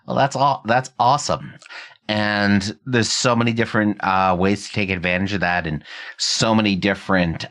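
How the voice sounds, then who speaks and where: A man's voice: lowish voice, fairly even intonation, normal speed, fairly bright tone. A man hosting a podcast in a conversational interviewing and commentary style. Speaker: podcast host.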